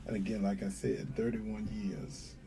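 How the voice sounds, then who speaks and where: Man, middle-aged, from North Carolina, low pitch, earnest, halting with fillers. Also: level tone, gravelly, background noise. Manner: earnest, repeats phrases, many fillers. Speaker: sheriff candidate. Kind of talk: casual interview answers.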